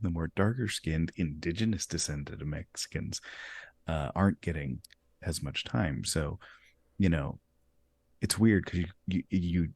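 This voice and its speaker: A male host with a very low, deep voice talks through a casual conversation. He stays relaxed and slips in easy laughs between his points. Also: vocal fry, slightly rough, no echo.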